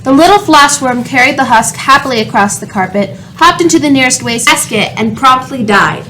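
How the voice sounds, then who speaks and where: A woman's voice: high voice, expressive, brisk, pushed and bright, a little hiss or hum, poor audio. A woman reading scripted, dramatic narration as a storyteller in a radio play.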